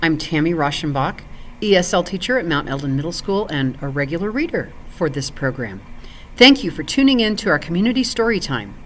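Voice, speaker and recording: A woman reading a story aloud for families in an expressive, clearly phrased storytelling style. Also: fairly low pitch, slight background noise. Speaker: volunteer story reader and ESL teacher.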